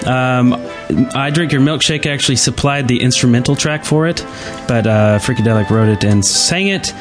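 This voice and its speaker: A man hosting a podcast in casual chat, informal and halting as he goes. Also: mid-range voice, over music. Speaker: podcast host.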